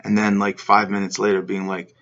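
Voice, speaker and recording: A man's voice: on the low side, monotone, a little fast, fairly bright tone, highs cut off. A man telling a story in a rambling, laid-back way over a call. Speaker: guest. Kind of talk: casual chat.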